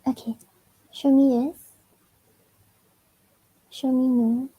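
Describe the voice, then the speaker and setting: Giggly Singaporean woman, mellow voice. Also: high voice, level tone, slow, breathy edge, rough recording. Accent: Singaporean English. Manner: chatty, giggles often, many "like" fillers. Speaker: tarot reader. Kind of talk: casual livestream tarot reading.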